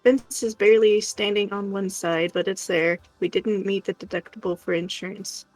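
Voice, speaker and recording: A woman's voice: medium pitch, steady tone, normal speed, darkish tone, no room sound, poor audio. A woman co-hosting a livestream, chatting casually and answering viewers. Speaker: livestream co-host. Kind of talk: casual chat, reading out viewer comments. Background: music.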